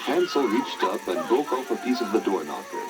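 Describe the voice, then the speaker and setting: A male narrator tells a children's tale from a script with theatrical flair. His voice is deep and highly animated. Orchestral music plays behind him, as on an old story record. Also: moderate pace. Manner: theatrical, expressive phrasing.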